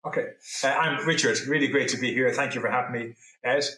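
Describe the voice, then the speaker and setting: A man's voice: mid-range voice, steady tone, fast, pushed and bright, echoey room, poor audio. A middle-aged man from Ireland, telling his own story as a guest on a video call, rambling and correcting himself earnestly. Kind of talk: personal testimony in an interview.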